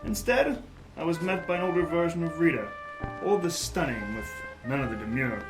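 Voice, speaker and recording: A man playing a hardboiled private investigator in a noir audio drama, with a wry, measured delivery. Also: fairly high pitch, lively intonation, lightly acted, poor audio. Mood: wry narrating. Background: music under the voice.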